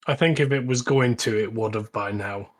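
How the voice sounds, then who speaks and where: A man's voice: mid-range voice, normal speed. A man co-hosting a podcast in casual conversation. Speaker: podcast co-host. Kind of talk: casual chat.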